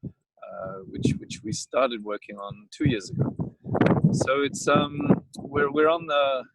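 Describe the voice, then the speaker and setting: Man, South African accent, tired winemaker; halting delivery, lively intonation, outdoor mic with light noise. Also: medium pitch, vocal fry. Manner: halting, many fillers and restarts. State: coughing, tired. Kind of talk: casual outdoor vineyard talk. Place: outdoors in a vineyard.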